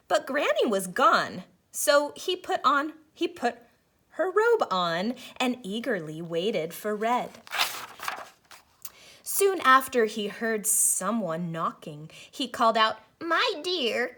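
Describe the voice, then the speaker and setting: Woman teacher for children's read-aloud: slow, highish, very animated storybook narration. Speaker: first-grade teacher reading aloud.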